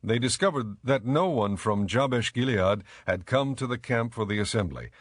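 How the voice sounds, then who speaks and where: A man's voice: medium pitch, expressive, moderate pace, dry recording, studio quality. A man reading scripted dramatic Bible narration as the narrator.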